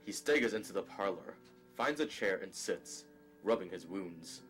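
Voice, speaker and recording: Male narrator for audio drama, deep register, scripted scene descriptions over faint music. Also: lively intonation, normal speed, fairly bright tone.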